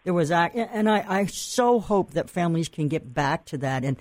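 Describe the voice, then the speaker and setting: A man's voice: on the high side, animated, moderate pace, no echo. An older man with a Massachusetts accent hosting an interview, talking in a chatty, rambling way with restarts and fillers. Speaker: host. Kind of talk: radio or podcast interview.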